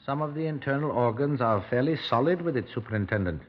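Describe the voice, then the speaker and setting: Actor as grave village doctor, muffled radio. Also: male voice, mid-range voice, animated, fast, close to an everyday voice. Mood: grave, certain. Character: grave, avuncular village doctor reporting findings. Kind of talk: 1950s radio drama acting. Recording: dull vintage 1950s radio recording.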